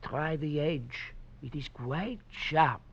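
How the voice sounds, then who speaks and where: A man's voice: fairly high pitch, takes it slowly, gravelly, slightly rough, full character voice. An actor playing a small, wrinkled old French knife maker, serene, fatherly and cryptic, in 1940s radio drama style. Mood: serene, inviting. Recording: muffled old radio recording.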